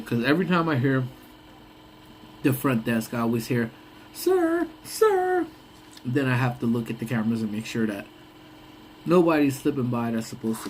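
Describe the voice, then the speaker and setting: A man's voice: medium pitch, very animated, normal speed, darkish tone, a little hiss or hum, rough recording. A young man hosting a casual lunch-break live stream, rambling and laughing often, with a voice that sounds congested. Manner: rambling, laughs often, trails off mid-thought.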